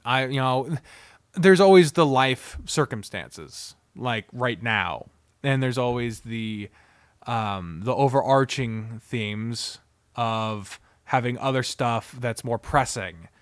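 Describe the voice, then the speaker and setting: A man's voice: medium pitch, slow pace, no room sound. A man giving a casual, unscripted video review as a streamer, rambling candidly and swearing freely. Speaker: visual novel streamer and reviewer.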